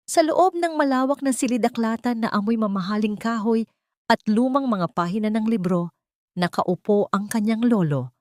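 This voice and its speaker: A woman reading a scripted, dramatic love story in a measured, solemn, melodramatic way. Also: mid-range voice, a little mellow, no echo, studio quality. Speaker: story narrator.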